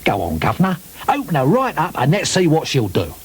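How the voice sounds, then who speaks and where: A man's voice: on the high side, wildly swooping pitch, a little fast, husky, voice pushed up, heavily acted. A man voicing a small, ratty-faced, cocky male hitchhiker who talks bluntly and scornfully in a storybook reading.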